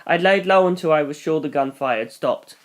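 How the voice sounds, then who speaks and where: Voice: mid-range voice, brisk. Story reader with a mid-range voice. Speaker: audiobook reader. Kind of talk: first-person fan story narration.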